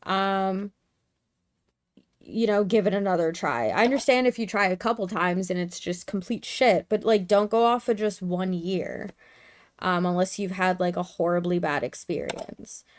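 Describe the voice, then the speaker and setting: A woman streamer chatting casually and rambling with lots of fillers, tired and with her voice going hoarse. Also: medium pitch, normal speed. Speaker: craft streamer. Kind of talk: casual livestream chat.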